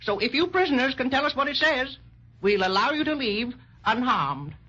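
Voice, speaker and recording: A man's voice: very high voice, sing-song, normal speed, bright, forceful tone, husky, full character voice. An actor playing a pompous, dithering, easily offended king in a 1930s children's radio serial. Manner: haughty, blustering, hesitant. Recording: dull, lo-fi 1930s radio recording.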